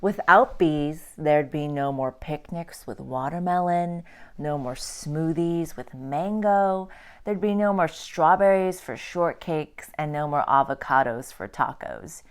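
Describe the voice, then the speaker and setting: A woman with a low voice reads a children's storybook aloud at a slow pace. She phrases each line deliberately and expressively, the way a teacher does for young listeners. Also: smooth. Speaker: teacher reading a picture book aloud.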